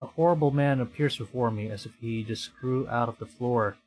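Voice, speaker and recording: A man's voice: mid-range voice, fairly quick, a little mellow, husky, rough recording. A man streaming a horror visual novel, reading it aloud with live reactions and acting out the dialogue dramatically.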